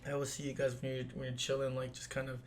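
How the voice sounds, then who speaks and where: A man hosting a podcast in a loose, joking chat, losing his voice a little and clearing his throat now and then. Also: mid-range voice, completely flat delivery, racing, a little hiss or hum. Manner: loose, joking, swears freely. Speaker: podcast host. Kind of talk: casual chat.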